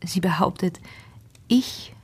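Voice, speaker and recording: A troubled gentleman's wife in a radio drama. Her voice sits slightly low for a woman and has a husky, breathy grain. She speaks candidly and with distress, in an acted period-drama manner. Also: slow, heavily acted. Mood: agitated, bitter. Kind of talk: German radio drama acting.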